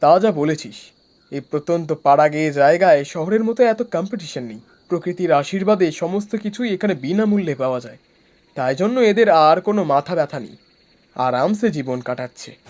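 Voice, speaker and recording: A man playing an excitable, chatty young traveller in a horror cartoon. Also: a little high, brisk, lightly acted. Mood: curious, chatty.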